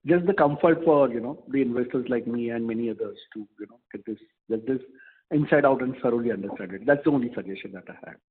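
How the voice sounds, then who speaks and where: A man with an Indian accent and a husky, gravelly voice, speaking haltingly and politely as an investor on a conference call. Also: medium pitch. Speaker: investor caller. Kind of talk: unscripted earnings call question. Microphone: phone into conference call. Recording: dull lo-fi phone call.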